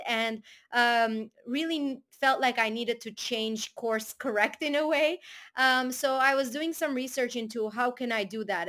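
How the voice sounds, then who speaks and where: A woman's voice: fairly high pitch, a bit bright. A young woman from Syria, a guest answering interview questions thoughtfully, with frequent restarts. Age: young adult.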